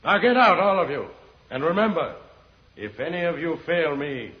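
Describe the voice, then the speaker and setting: Menacing radio villain, swinging, echoing. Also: male voice, fairly high pitch, big pitch swings, normal speed. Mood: angry threatening. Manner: commanding, threatening. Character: tyrannical villain plotting conquest of Earth, menacing, impatient. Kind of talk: old-time radio serial acting. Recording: muffled vintage radio recording, hollow reverb, hiss.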